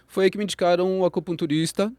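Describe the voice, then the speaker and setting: A man in his fifties with a Brazilian Portuguese accent, an acupuncturist telling his story in a casual interview, lively and full of tag questions. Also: sits high, quick pace, no echo. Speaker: acupuncturist and psychologist guest.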